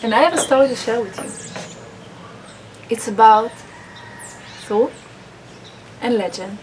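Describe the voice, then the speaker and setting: A woman from Israel rehearsing a personal speech, halting, with restarts and non-native grammar slips. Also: fairly high pitch, noisy background. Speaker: public speaking student. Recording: roomy, distant mic, background ambience.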